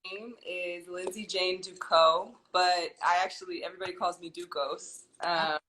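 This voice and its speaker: A woman from California is a guest in a relaxed interview. Her voice is bright, and she speaks thoughtfully. She rambles a little and gathers her thoughts as she goes. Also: fairly low pitch, moderate pace, a little hiss or hum, rough recording. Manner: thoughtful, rambling, many ums and restarts. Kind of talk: casual interview chat.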